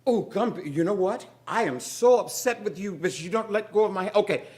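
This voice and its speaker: A prim, short-tempered woman with fussy manners, as a male storyteller puts her on. Her high, swooping voice turns from sugary politeness to exasperated scolding. She stretches her words out slowly. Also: sing-song, a little mellow. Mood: frantic.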